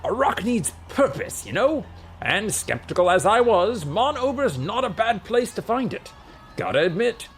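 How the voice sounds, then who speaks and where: Man voicing a brash, blustery god of rocks, swooping pitch. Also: sing-song, moderate pace, soft background music. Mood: content. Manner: blustery, offhand, bossy. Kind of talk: comic fantasy audiobook character.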